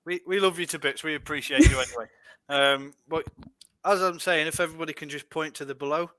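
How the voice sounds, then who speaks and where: A young Scottish man with a bright, forceful voice hosts a casual podcast about streaming. He reads out viewer comments, laughs easily and often restarts his sentences. The sound is dry and close, with little room in it. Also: on the high side, fast, vocal fry, no echo, rough recording. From Scotland. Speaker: podcast host. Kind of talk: casual chat, reading comments.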